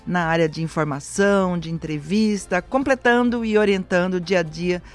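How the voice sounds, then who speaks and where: A Brazilian woman in her sixties, a veteran teacher, speaking warmly and with conviction as a radio interview guest. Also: lowish voice, moderate pace. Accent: Brazilian Portuguese. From central-west Brazil. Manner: warm, emphatic, speaks with conviction. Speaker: retired teacher and former councilwoman.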